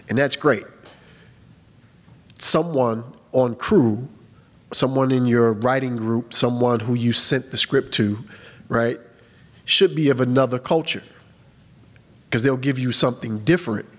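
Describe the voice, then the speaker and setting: A man on a film panel answering a question in a conversational, emphatic way, often checking in with "right?". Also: medium pitch. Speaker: panelist, casting and film producer. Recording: long hall reverb.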